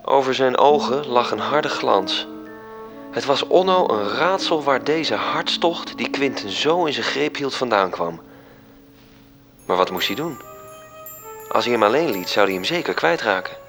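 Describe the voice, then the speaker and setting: A wistful storytelling angel in a radio play, a male voice of medium pitch. He talks in a measured way, with faint music beneath his voice. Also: animated. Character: wistful angel recounting the tale to his superior. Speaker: narrator. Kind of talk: radio drama narration. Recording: music under the voice.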